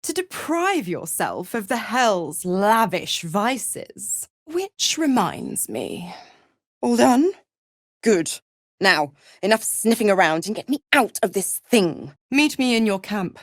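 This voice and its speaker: An actress voicing a seductive, gloating devil patron in a video game, with a silky, condescending, theatrical manner of cruel teasing. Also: female voice, medium pitch, big pitch swings, slow, no echo, studio quality, full character voice. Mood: smug, then commanding, teasing. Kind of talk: video game character voice acting.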